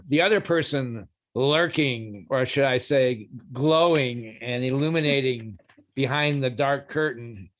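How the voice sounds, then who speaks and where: A man's voice: mid-range voice, fairly bright tone. Man hosting a podcast, reading an intro. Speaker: podcast host.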